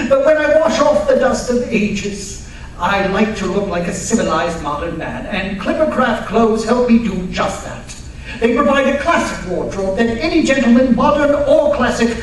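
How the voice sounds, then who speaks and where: A woman's voice: a little high, a little mellow, lightly acted. A woman playing a dapper, self-pleased young male archaeologist in an old-time radio sponsor spot, chatty and polished. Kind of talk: 1940s radio sponsor advertisement acting. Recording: echoey hall from far off, faint noise.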